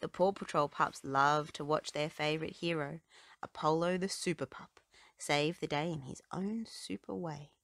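A woman narrating a children's storybook aloud in an expressive way, paced for young listeners. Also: sits low, moderate pace, vocal fry, dry recording.

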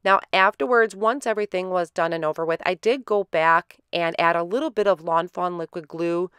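A woman hosting a card-making tutorial in a relaxed, step-by-step voice-over, a little stuffy from a head cold. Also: lowish voice, normal speed, smooth, no echo, studio quality. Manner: relaxed, step-by-step explaining with fillers.

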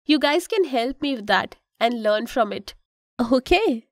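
A woman's voice: on the high side, lively intonation, a little slow, no echo, clean studio sound. A woman voicing a kind, instructive mother in a children's cartoon, with an Indian accent and a patient, explanatory manner. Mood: patient, guiding. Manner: patient, explanatory, gently cautioning.

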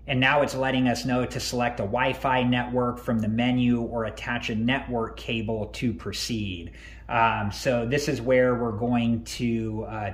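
A man hosting a tech tutorial, calmly talking through each step on screen with frequent ums and uhs. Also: mid-range voice. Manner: relaxed, many ums and uhs.

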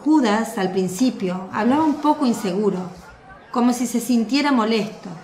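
Woman narrating a radio play, kinda high voice, echoey room. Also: expressive, normal speed, a little mellow. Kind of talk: scripted drama narration.

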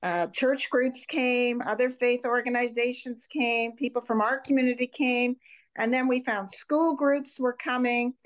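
Older woman, from Montreal, fairly high voice, guest speaker, public presentation, video call from home. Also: steady tone, measured pace, poor audio. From Montreal, Canada. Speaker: memorial co-founder, guest speaker. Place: home room indoors.

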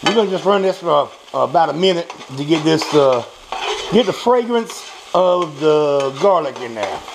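A fairly high-voiced American man with a gravelly edge chats casually as he cooks. He is folksy and salty, repeating phrases as he goes. Kitchen clatter and sizzle run clearly behind him. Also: lively intonation, moderate pace. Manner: folksy, salty-tongued, repeats himself, talks to the food. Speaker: home cook presenting a recipe. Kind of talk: casual cooking demonstration, off the cuff. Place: small home kitchen at the stove. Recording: clear kitchen noise, pan and utensil clatter. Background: frying, stirring, clinking dishes.

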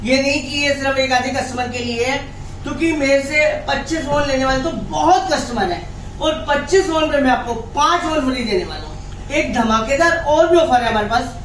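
A man gives an emphatic, repetitive, casual sales pitch. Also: moderate pace, fairly bright tone. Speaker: phone wholesaler. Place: small office stockroom, indoors. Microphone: camera a few steps away. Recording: echoey room, distant mic, faint noise.

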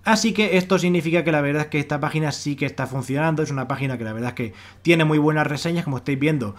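A man's voice: fairly high pitch, very fast. A man with an accent from Spain giving a casual, wordy screen-share walkthrough as an online presenter, often repeating himself to explain. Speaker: YouTube presenter of money-making websites. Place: voice-over on a screen recording.

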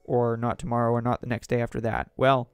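Solo podcast host, man, going hoarse, rambles through news articles from a desk mic, dry close sound with faint music under. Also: mid-range voice, little pitch movement, fast talker, no echo. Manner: rambling, conversational, reads articles aloud. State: sore throat, losing voice. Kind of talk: solo political commentary.

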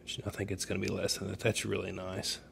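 A man's voice: low voice, monotone, fast talker, creaky, a bit bright, slight background noise. A man giving a casual how-to narration in an informal, folksy way, thinking aloud as he goes, recorded in a room at home. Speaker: DIY demonstrator.

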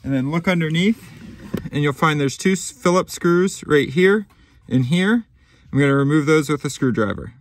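A man in his thirties calmly walking through a car repair step by step, with a few ums, recorded inside a car. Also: on the high side, moderate pace, no room sound. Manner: plain, methodical instructions with frequent ums. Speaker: DIY repair channel host. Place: inside a car, small enclosed cabin.

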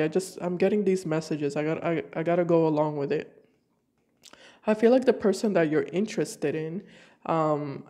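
A woman's voice: sits low, dark tone. A woman reading tarot cards for her viewers, talking casually and thinking aloud with hesitant restarts, while sick with a cold. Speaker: tarot reader. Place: home room with decorated card table.

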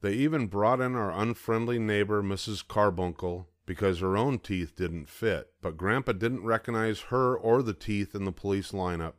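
An older man reads a children's picture book aloud with steady, even narration. His voice sits on the low side. The recording is close and dry, with no room sound. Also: normal speed, few pauses, no echo. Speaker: storybook reader.

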